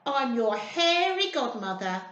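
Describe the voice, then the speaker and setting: A woman's voice: sits high, big pitch swings, unhurried, a bit bright, lo-fi sound, heavily acted. A woman voicing an enormous hairy spider godmother in a storybook, kindly, assured and instructing. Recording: roomy, echoing indoor sound.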